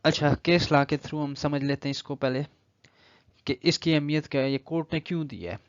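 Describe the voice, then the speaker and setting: A man with a Pakistani accent giving an explanatory law lecture, deliberately reading legal text, then repeating and rephrasing each point. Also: fairly high pitch, fairly even intonation, clean tone. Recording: echoey room, dull lo-fi audio.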